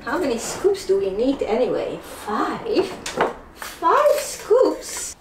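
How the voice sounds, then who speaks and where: Young Dutch-accented woman, unhurried playful vlogger, high animated voice, roomy echo. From Netherlands. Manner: playful, giggly, self-mocking. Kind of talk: casual vlog chat. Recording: echoey room, faint background noise.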